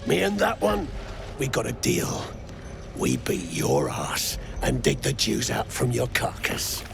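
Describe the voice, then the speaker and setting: Very gravelly, gruff dwarf mercenary. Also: male voice, mid-range voice, wildly swooping pitch, takes it slowly, exaggerated voice. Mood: angry, threatening. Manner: blunt, aggressive, swearing. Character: foul-mouthed, greedy, gruff male dwarf mercenary. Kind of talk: video game character acting. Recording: music behind the voice.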